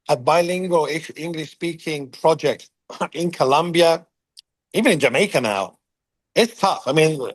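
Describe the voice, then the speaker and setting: A man with a somewhat high voice answers interview questions as a company head. He talks in quick bursts, with lots of fillers and restarts. The sound is dry and close, with no room echo. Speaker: CEO of a contact center firm, guest. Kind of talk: business interview, unscripted.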